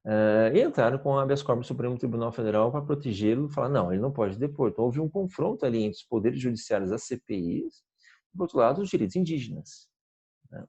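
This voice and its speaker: A man's voice: medium pitch, animated, quick pace, dark tone, husky, lo-fi sound. A man, teaching a recorded online law lecture in an explanatory way, restarting phrases and checking in with listeners. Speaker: law professor.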